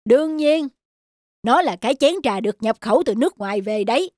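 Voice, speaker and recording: A woman voicing a pompous, bossy fat master of the house in an animated folktale. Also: a little high, fairly quick, no room sound, clean studio sound. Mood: bossy, irritated. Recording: music behind the voice.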